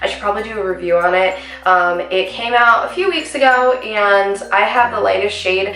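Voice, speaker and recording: A woman's voice: mid-range voice, moderate pace, bright, forceful tone, distant mic, a little hiss or hum. A young woman, chatting casually through a makeup tutorial with lots of ums and asides. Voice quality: raspy. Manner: chatty, rambling with many ums. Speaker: beauty vlogger. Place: small room indoors at home. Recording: roomy echo, voice a bit off-mic, faint music.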